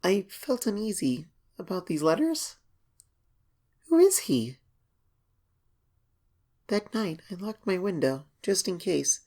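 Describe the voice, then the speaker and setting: A woman voicing an anxious young pony filly, reading her diary as an expressive, hesitant horror story narration. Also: mid-range voice, slow pace, pauses often, exaggerated voice. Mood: unnerved, wondering. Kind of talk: dramatic first-person horror story reading.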